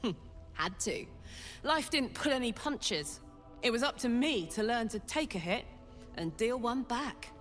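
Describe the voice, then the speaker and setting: A woman's voice: a little high, expressive, unhurried. A woman playing a tough, big-hearted female fighter in a video game, casual and encouraging, with long reverb and music behind. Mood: modest, frank. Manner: casual, plain-spoken, encouraging.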